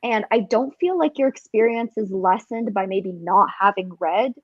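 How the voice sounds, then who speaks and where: A woman's voice: medium pitch, moderate pace, no room sound. A woman co-hosting a casual book discussion, speaking conversationally over lo-fi call audio. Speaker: co-host on a book discussion livestream. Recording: dull, dry lo-fi call audio.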